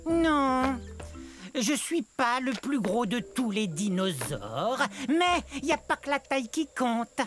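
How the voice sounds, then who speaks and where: Pompous, high-voiced brainy little dinosaur. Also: female voice, sing-song, slow, faint music behind, heavily acted. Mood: smug. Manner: pompous, precise, lecturing politely. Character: brainy, self-important small turkey-sized feathered dinosaur. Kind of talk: children's educational cartoon monologue.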